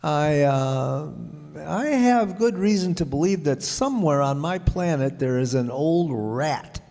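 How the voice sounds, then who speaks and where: A man's voice: a little high, lively intonation, a little slow, a little mellow, faint music behind. A man playing a lonely, self-important but kindly king in a radio play, regal and given to hemming and hawing. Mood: pompous. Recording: echoey hall, long reverb.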